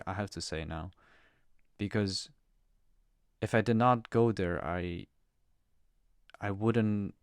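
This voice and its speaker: A man's voice: low-pitched, dry recording, clean studio sound. A young man hosting a podcast, talking casually and reflectively, hesitant with restarts and fillers. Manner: hesitant, repeats words, many fillers. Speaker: podcast co-host.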